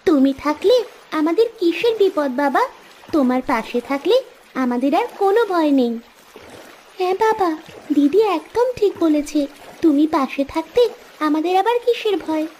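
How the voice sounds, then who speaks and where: A woman's voice: high voice, lively intonation, normal speed, darkish tone. A woman voicing an eager, trusting little village girl in a cartoon, pleading in a childlike way. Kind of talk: Bengali cartoon voice acting. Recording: noisy ambience behind the voice.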